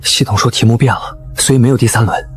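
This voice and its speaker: A man playing a mild, respectful junior teammate who explains things politely in an animated drama. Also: mid-range voice, fast, faint music behind, natural voice. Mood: curious, explaining.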